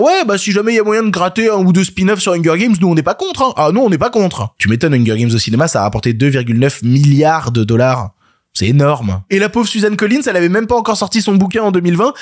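A man in his late twenties with a cold and a blocked nose, hosting a scripted film review podcast with sarcastic asides. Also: high-pitched. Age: late 20s. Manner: sarcastic, self-deprecating, quick asides. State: congested with a cold, stuffy nose. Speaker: podcast host, film critic.

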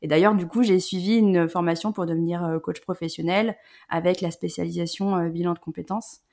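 A woman in her thirties from the Rhône-Alpes region, telling her personal story as an interview guest in a reflective way, often pausing with "euh". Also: lowish voice, steady tone, a little mellow. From Rhône-Alpes, France. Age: 30s. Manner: reflective, many hesitant "euh" fillers.

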